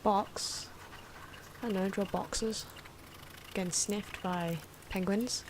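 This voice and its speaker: A soft-spoken, hesitant woman giving ASMR commentary on her own drawing, with pencil and marker noises. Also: medium pitch, steady tone, slow, noisy background. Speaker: artist narrating own drawing video.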